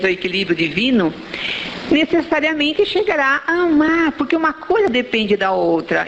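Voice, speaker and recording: A woman's voice: mid-range voice, creaky, a bit bright. A Brazilian woman giving a religious talk in Brazilian Portuguese, explaining in a didactic way and checking in with rhetorical questions. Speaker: spiritist lecturer. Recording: dull lo-fi audio, faint background noise.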